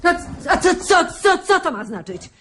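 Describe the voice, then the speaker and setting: Haughty, animated woman doctor. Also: extremely high pitch, moderate pace, background noise. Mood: indignant. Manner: indignant, exclamatory, stammering in agitation. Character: indignant, haughty woman doctor, secretly a murderer's lover. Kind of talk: radio drama acting.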